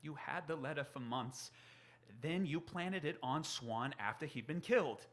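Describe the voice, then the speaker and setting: An actor playing an earnest, desperate writer who urgently tries to persuade a friend in a radio drama. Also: male voice, a little high, unhurried, a bit bright. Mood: urgent, persuasive. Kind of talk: stage radio drama acting.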